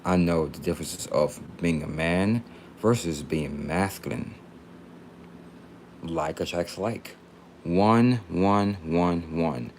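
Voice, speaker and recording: Very deep-voiced young man rambling casually. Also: slow pace, a little mellow, a little hiss or hum. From Texas, United States. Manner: rambling, repetitive, slangy. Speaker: livestreamer. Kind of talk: casual livestream chat.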